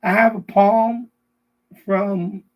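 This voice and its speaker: A middle-aged man hosting a casual live stream, rambling and often repeating himself, with a husky voice. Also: high voice, fairly even intonation, extremely slow, rough recording. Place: basement.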